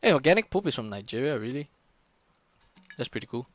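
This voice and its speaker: A man's voice: mid-range voice, very animated, a little fast, noisy background. A young man, casually talking through his own game footage on a live stream, loose and full of fillers and curses. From Singapore. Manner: casual, frequent swearing and fillers.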